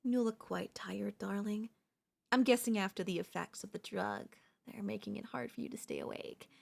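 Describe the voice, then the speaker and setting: A woman playing an obsessive female pop idol turned kidnapper in an ASMR roleplay, speaking in a soft, coaxing, doting way with menace underneath. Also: mid-range voice, moderate pace, vocal fry, breathy edge. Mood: doting, controlling.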